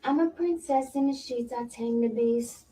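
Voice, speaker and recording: A woman's voice: fairly high pitch, moderate pace, warm tone. A woman hosting a livestream in casual chat, laughing often. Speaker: livestream host. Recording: noisy livestream audio.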